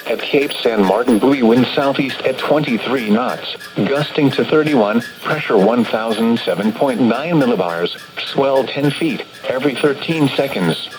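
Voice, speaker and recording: A man's synthetic, automated voice reading a formal scripted weather forecast bulletin as an announcer over the radio. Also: medium pitch. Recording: noisy weather radio broadcast.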